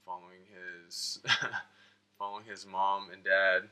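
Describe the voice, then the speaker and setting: A man's voice: deep voice, monotone, takes it slowly, sharp tone, smooth. An American man chatting casually as an interview guest, rambling along informally with lots of filler "like". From United States (Florida and Georgia).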